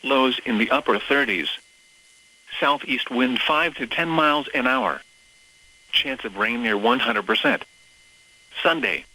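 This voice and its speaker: A synthetic American man's voice delivers a weather forecast in formal, scripted style. The tone is bright and forceful at an average male pitch. Phrases come out clipped and impersonal, as on an automated weather radio broadcast. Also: low-quality recording. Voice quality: synthetic text-to-speech voice.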